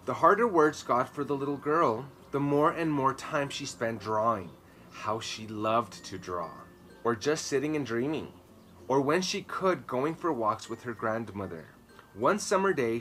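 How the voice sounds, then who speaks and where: A man's voice: medium pitch, expressive, normal speed, a bit bright, faint music behind. A man in his thirties reading a children's story aloud with emphatic, dramatic phrasing in a garden. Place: outdoor garden patio, seated. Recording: clear ambient noise behind voice.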